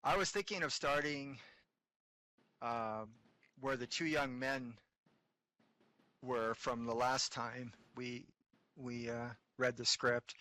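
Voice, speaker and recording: A man runs a casual script read-through and explains the plan in a halting way, working things out as he speaks. His voice has a bright, forward edge at an ordinary pitch. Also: no echo, poor audio. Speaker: organizer of a script reading group. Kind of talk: casual group chat.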